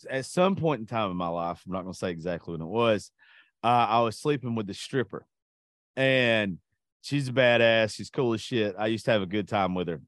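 Podcast host guy, crude and blunt, swears a lot, dry close mic, normal pitch. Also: no room sound. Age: adult. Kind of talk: casual chat.

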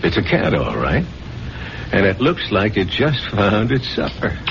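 Skeptical male boss, lowish pitch, wry, blunt, unimpressed, 1970s radio drama, muffled hissy old recording. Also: very animated, a little slow, gravelly, fairly bright tone, exaggerated voice. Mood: casual, unmoved. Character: skeptical, unflappable male newsroom chief, dry and gruff. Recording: dull, noisy old radio broadcast.

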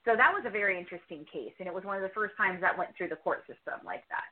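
A woman's voice: mid-range voice, animated, rapid-fire. A woman podcast host giving reflective, conversational commentary as an interview guest, leaning on repeated words for emphasis. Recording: band-limited, muffled call audio.

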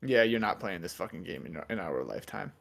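A man's voice: sits low, expressive, fairly quick, creaky. Male co-host, casual gaming podcast; jokey, profane, rambling delivery. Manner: joking, swears freely, rambling with fillers.

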